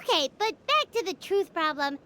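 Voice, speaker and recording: Casting: very high-pitched little pixie sidekick for a game, childlike, naive, earnest. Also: female voice, big pitch swings, moderate pace, pushed and bright, heavily acted. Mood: puzzled, earnest. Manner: refers to self in third person, childlike. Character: tiny excitable pixie girl, naive and self-conscious. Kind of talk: video game voice acting.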